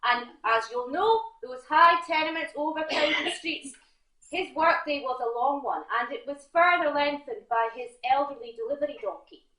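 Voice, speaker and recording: A Scottish woman telling a comic tall tale live in a theatre, speaking directly to her audience with halting asides. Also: sits high, pushed and bright. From Scotland. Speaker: competition storyteller. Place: theatre stage before an audience. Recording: roomy, echoing theatre recording.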